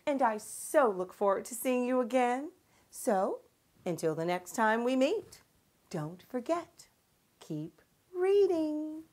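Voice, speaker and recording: A middle-aged woman hosting a children's read-aloud, with expressive, emphatic and encouraging storytelling. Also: on the high side, slow pace.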